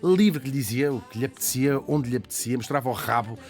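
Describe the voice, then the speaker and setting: A Portuguese man talks quickly through a spirited radio biography, laughing and tossing in asides. He sits at a middling pitch for a man. Faint music plays beneath the studio talk. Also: darkish tone. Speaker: radio columnist. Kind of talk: lively radio biography chat.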